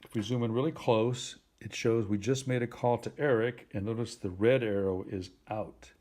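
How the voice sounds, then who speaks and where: A man giving a simple tutorial in a plain, deliberate, instructive way, like someone patiently walking a learner through each step. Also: fairly low pitch, steady tone, darkish tone. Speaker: instructor helping a phone user.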